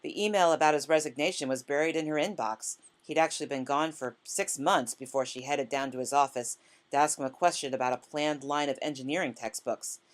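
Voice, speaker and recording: A woman reading literary fiction aloud as scripted narration, in a measured manner. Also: low voice. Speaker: author reading a short story.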